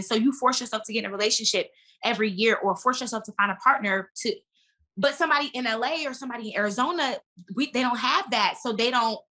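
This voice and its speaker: A woman with a fairly high, bright and forceful voice talks casually as an interview guest. She explains herself in an animated, emphatic way, like someone telling personal stories in conversation.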